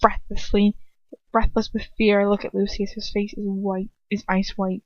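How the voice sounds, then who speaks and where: A woman reading first-person fiction aloud as an amateur audiobook narrator, in an expressive storytelling style. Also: mid-range voice, normal speed, no echo. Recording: dull lo-fi dry home recording.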